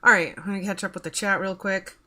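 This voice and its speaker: A woman's voice: medium pitch, steady tone, quick pace, bright, forceful tone. A woman in her thirties chatting casually on a stream while she works, rambling and swearing offhandedly as she reads out the chat. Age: 30s.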